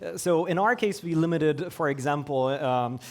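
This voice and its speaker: A man's voice: on the high side, normal speed. A man speaker answering audience questions after a conference talk, with frequent hesitations and fillers.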